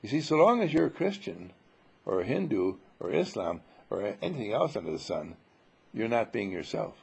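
A man giving an informal spiritual sermon full of rhetorical questions, emphatic and dramatic, with a husky, gravelly voice. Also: lowish voice, sing-song, normal speed. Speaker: spiritual teacher.

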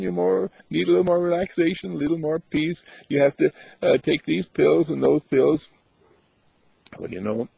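A man giving a meditation talk, repeating affirmations with lively chuckles. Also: medium pitch, vocal fry, slightly rough, dry recording. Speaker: meditation teacher. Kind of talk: spiritual talk. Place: outdoors on a path. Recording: dull dry lo-fi recording.

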